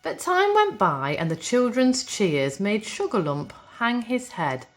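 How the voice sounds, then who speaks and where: A woman's voice: on the high side, very animated, a little slow. A woman reading a children's rhyming picture book aloud as a school storyteller, expressively and with a rhythmic cadence.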